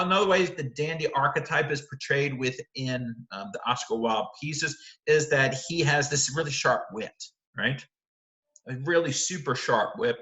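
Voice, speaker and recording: Male lecturer, bright, forward voice, informal and explanatory, conversational with frequent qualifiers, roomy echo on the recording. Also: medium pitch. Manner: conversational, often hedging and checking with "right?". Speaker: literature lecturer. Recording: roomy, echoing sound.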